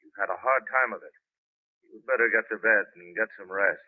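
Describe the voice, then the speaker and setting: An actor playing an earnest, easily flustered doctor friend in 1930s radio horror, formal and halting. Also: male voice, lowish voice, lightly acted. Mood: soothing, firm. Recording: tinny 1930s radio recording.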